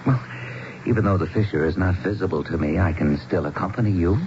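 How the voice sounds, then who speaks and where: A cautious, loyal town mayor in a 1970s radio drama. He speaks formally and sincerely, in measured phrases. The recording is dull and noticeably noisy. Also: low voice. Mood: practical, cautious. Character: earnest, loyal small-town male mayor, cautious and supportive.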